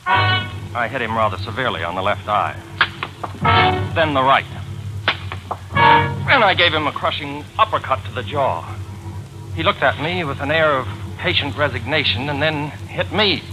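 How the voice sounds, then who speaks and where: An actor playing a wordy, wryly pompous gentleman sleuth in a 1940s radio drama, with formal, precise diction. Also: a little high, wildly swooping pitch, normal speed, pauses often, loud music behind the voice. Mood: wry, deadpan. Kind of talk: 1940s radio acting, noir narration. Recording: noisy vintage 1940s radio recording.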